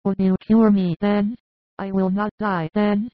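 A man voicing a frail, sick old woman in a storybook reading, her voice pushed high and fretful. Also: level tone, unhurried, no room sound. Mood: doubtful. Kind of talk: audiobook character voice. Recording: dry, tinny phone-like sound.

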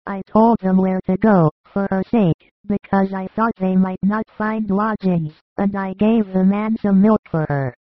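Reader doing a soft kind shepherd, tinny phone-like sound, dry. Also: medium pitch, fairly even intonation, measured pace, hardly any pauses, no room sound, close to an everyday voice. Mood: tender. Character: kind-hearted male shepherd, gentle. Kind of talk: dramatized story reading.

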